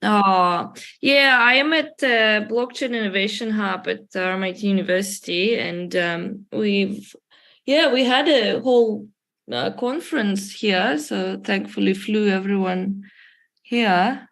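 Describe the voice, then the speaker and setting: Slow, sleepy woman on a video call. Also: mid-range voice, creaky, a bit bright. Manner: sleepy, hesitant, many fillers. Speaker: new joiner. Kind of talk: casual chat on video call.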